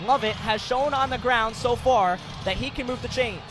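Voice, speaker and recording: High-pitched young American man calling football. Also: normal speed, music in the background. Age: young adult. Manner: clipped, excitable, projects loudly on big plays. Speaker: play-by-play football announcer. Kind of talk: live American football play-by-play commentary. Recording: clear noise, stadium sports broadcast.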